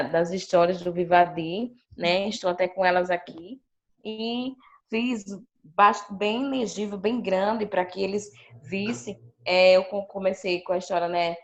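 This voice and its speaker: A woman's voice: fairly low pitch, a little slow. A woman teacher with a Brazilian Portuguese accent, chatting about her classroom and acting out her pupils' quoted remarks. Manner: chatty, animated retelling with quoted pupil voices. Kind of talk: sharing classroom experience on a video call. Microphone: video call. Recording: dull lo-fi video call audio.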